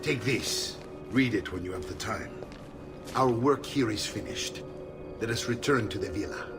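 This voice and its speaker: A man with an Italian accent playing a gruff, hearty middle-aged uncle and fighting leader in a video game cutscene. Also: lowish voice, normal speed, natural voice. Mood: calm, firm. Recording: loud orchestral game music behind.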